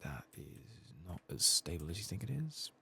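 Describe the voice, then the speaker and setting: Male gaming streamer, soft-spoken and half-whispered, gravelly with lively swings in pitch, casually sweary running murmur. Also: moderate pace. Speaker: streamer playing a video game.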